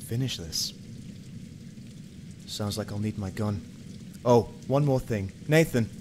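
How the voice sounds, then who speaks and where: A man's voice: lowish voice, slow, noisy background. A man playing a grim, determined police detective in an amateur radio play, earnest and hard-boiled in manner.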